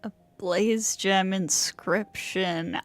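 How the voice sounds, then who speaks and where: A woman streamer giving casual, halting live commentary on a game, musing aloud. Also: medium pitch, fairly even intonation, soft background music. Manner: musing aloud, halting, piecing thoughts together.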